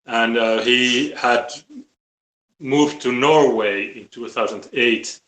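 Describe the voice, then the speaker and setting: A man with a Finnish accent talking casually and bluntly on a live stream, halting and repeating his words. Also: medium pitch, fairly bright tone. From Finland. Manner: halting, repetitive, blunt with swearing. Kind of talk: casual opinionated live talk. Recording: roomy, echoey live-stream audio.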